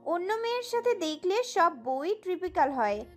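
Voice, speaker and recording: A woman acts out a spoiled, possessive young wife in an audio romance drama. Her voice is extremely high and lively, with a pouty, petulant delivery. Soft music sits behind her. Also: moderate pace. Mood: sulky, indignant. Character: pampered, jealous young wife, sulky and possessive.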